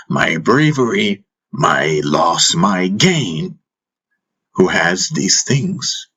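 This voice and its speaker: A wise, husky spiritual master played by a male narrator instructs his pupil in a fantasy audiobook. His low voice is patient and teasing, full of probing rhetorical questions. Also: pushed and bright, exaggerated voice. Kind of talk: audiobook character dialogue.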